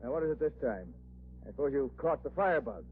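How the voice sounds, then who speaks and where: An actor playing a gruff, exasperated police commissioner, sarcastic and grumbling, in 1940s radio style on a tinny recording. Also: male voice, mid-range voice, lively intonation, fast talker, a little hiss or hum, natural voice. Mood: grumpy, indignant.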